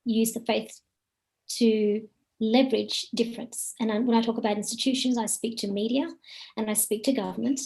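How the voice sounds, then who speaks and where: A woman's voice: on the high side, fairly even intonation, normal speed, gravelly, a little mellow. A woman speaking earnestly and emphatically on a discussion panel.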